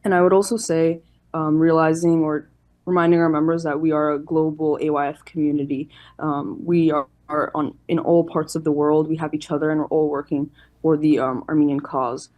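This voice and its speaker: A woman's voice: deep voice, level tone, brisk, a little mellow. A woman answering interview questions as an organization's representative in an earnest, explanatory, formal way. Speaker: youth organization chairperson, interview guest.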